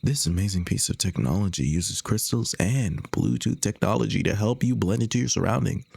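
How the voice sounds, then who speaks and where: A man's voice: fairly low pitch, brisk, creaky, darkish tone, husky, no room sound, studio quality, heavily acted. A man playing an upbeat, slick parody infomercial host, with theatrical sales patter and exaggerated emphasis. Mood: salesy. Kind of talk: comedic scripted infomercial roleplay.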